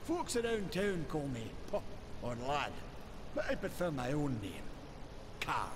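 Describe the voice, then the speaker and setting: A man's voice: on the high side, wildly swooping pitch, a little slow. An actor playing a huge, earnest male smith-giant in a video game, speaking plainly with homely turns of phrase. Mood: folksy. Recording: game audio with background noise.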